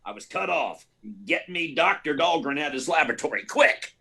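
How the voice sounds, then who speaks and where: A man playing a flustered, blustering newspaper editor in an old-time radio drama, stammering and excitable. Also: on the high side, moderate pace. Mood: agitated. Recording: live remote performance, clear background hiss.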